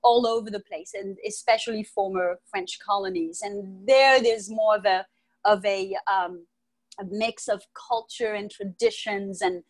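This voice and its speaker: A middle-aged woman from the United States, raised in Martinique, answering interview questions in a calm, measured way from home over earphones. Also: medium pitch, poor audio. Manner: calm, thoughtful, measured explaining. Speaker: guest, Ayurvedic practitioner. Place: home room with bookshelves. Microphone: earphone mic on video call.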